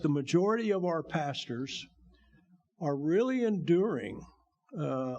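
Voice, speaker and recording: Older man with a gravelly voice. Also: a little high, darkish tone. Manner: folksy, joking, encouraging. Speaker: bishop giving testimony. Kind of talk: church testimony talk. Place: church sanctuary.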